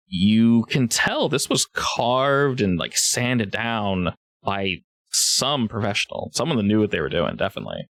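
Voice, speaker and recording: A male game master narrates a tabletop roleplaying game off the cuff. His pitch moves around in a lively way, and the recording is close and dry. He keeps an informal, joking manner. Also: no echo.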